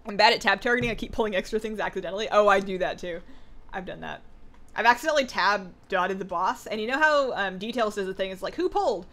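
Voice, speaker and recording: A woman's voice: mid-range voice, fast, fairly bright tone. A woman streamer chatting casually with viewers while she plays, her voice a little worn from two hours of talking. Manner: chatty, reads viewer questions aloud, frequent "like" and "um". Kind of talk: casual live-stream chat while gaming.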